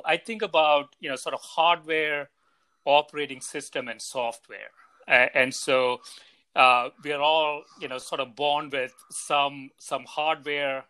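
A man's voice: a little high, bright, forceful tone. A man hosting a podcast, reading a set introduction and then talking casually with many hesitations. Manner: hesitant, many fillers in conversation. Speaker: podcast host.

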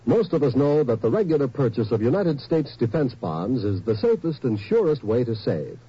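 Husky man reading a radio ad. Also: medium pitch, very animated, moderate pace. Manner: earnest, persuasive. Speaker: radio announcer. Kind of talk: scripted ad read. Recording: lo-fi 1950s radio broadcast.

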